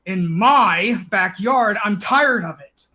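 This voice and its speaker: A man's voice: high-pitched, animated, takes it slowly, sharp tone, heavily acted. A man acting an exasperated, fussy neighbor, complaining and sarcastic, in a comedic play. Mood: annoyed, accusing.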